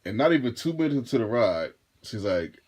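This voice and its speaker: A deep-voiced man in his thirties telling a casual storytime to his viewers, rambling and restarting his phrases as he goes. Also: very animated, brisk, a little mellow. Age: 30s. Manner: laid-back, rambling, repeats and restarts phrases. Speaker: vlogger and rideshare driver.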